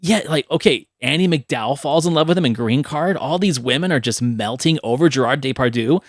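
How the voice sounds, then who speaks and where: Brief: male podcast host, mid pitch, loose conversational delivery, dry close sound. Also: animated, fairly quick, no room sound. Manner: conversational, tags lines with "right?", casual swearing. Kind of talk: casual movie chat.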